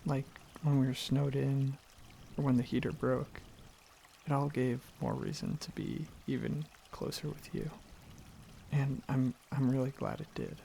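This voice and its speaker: A man playing a gentle, affectionate dragon boyfriend in an intimate ASMR roleplay, speaking softly and earnestly with some hesitant rambling. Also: mid-range voice, animated, measured pace, slight background noise. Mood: loving, tender. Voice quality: soft, husky, slightly gravelly, breathy.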